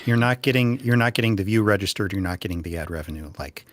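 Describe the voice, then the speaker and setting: Low-pitched man explaining casually, dry sound. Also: lively intonation, quick pace, no room sound. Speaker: panelist.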